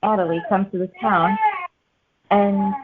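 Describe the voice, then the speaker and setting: A woman author answering interview questions conversationally, pausing often in the middle of phrases. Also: mid-range voice, fairly even intonation, background noise. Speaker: author guest. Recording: muffled phone-like narrow-band audio.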